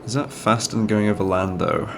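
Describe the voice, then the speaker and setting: A man's voice: on the low side, fairly even intonation, a little fast, creaky, noisy background. A man with a cold and a slightly nasal voice, thinking aloud as he plays a strategy game and explains each move. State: has a cold, sounds nasal. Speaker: gaming channel host. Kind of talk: casual strategy game commentary.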